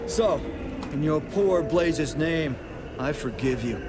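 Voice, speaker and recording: An actor voicing a blunt, folksy, forgiving horse in a video game. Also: male voice, fairly high pitch, lively intonation, slow, very noisy. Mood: earnest, forgiving. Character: plain-spoken, forgiving horse given a voice.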